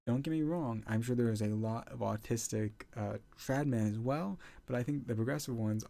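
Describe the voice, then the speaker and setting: A man's voice: medium pitch, normal speed, vocal fry, mellow. A man hosting a reaction video, commenting bluntly and with exasperation on a debate clip.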